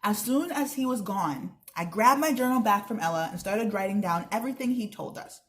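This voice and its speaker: A woman's voice: mid-range voice, lively intonation, fairly quick, fairly bright tone. A young woman reading a children's book aloud, expressively, with chatty asides to her listeners. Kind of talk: read-aloud narration with casual asides.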